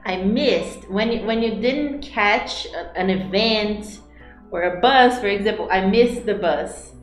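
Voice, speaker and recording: A woman's voice: mid-range voice, a little slow. A woman from Brazil with a Brazilian Portuguese accent, co-hosting an English-teaching livestream and explaining points in an upbeat way. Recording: roomy echo with faint music.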